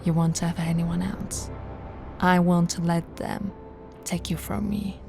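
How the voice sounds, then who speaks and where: A woman voicing a possessive, seductive vampire royal in an ASMR roleplay, teasing and often slipping into breathy whispers. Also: lowish voice, level tone, soft background music. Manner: slow, teasing, breathy whispering with soft laughs.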